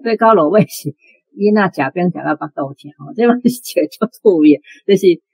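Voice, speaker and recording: Low husky Taiwanese woman, talking literature. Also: lively intonation, a little fast, darkish tone, dry recording, rough recording. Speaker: radio programme guest or presenter discussing literature. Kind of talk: unscripted literary commentary.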